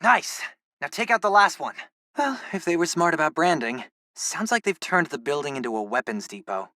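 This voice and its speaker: Casting: excitable teen tech geek, game sidekick; very animated pitch, wisecracking, dry close recording. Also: male voice, moderate pace, no room sound. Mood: playful, brisk. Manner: casual, wisecracking, expressive. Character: nerdy, excitable teenage boy, hero's tech-savvy best friend. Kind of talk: video game voice acting.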